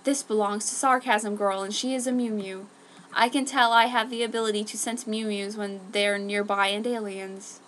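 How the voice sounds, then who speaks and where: A woman's voice: mid-range voice, fairly even intonation, normal speed, fairly bright tone, slight background noise, lightly acted, rough recording. A woman voicing a cocky, cool young wolf-boy hero with self-assured, dramatic delivery in a story reading.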